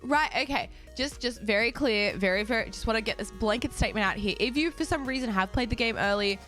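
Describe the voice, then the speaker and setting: A young woman streaming a game and chatting casually with her viewers, bubbly and quick to laugh, her voice a little raspy from just waking up. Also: a little high, fairly bright tone, soft background music. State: slightly raspy, just woken up. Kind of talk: casual chat with a live stream audience.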